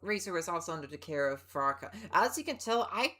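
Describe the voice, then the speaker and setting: A woman's voice: on the low side, lively intonation, quick pace, fairly bright tone. A woman livestreamer giving casual gameplay commentary, chatty and musing aloud. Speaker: game livestreamer. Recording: game music behind.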